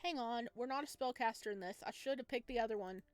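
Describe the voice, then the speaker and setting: A woman's voice: a little high, fast, dry recording. A woman giving casual, exclamatory playthrough commentary on a game, thinking aloud as she goes. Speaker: game streamer playing a visual novel.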